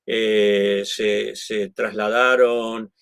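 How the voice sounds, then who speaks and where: A man's voice: fairly low pitch, level tone, extremely slow, a bit bright, smooth, no echo, studio quality. A middle-aged man from Argentina with an Argentine Spanish accent, explaining things in conversation with many restarts and tag questions. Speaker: guest.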